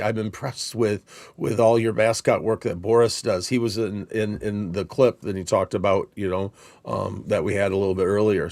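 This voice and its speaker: A man's voice: fairly low pitch, darkish tone, no echo. A man co-hosting a casual instructional discussion, thinking aloud in a loose, conversational way. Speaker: podcast co-host and Christmas performer.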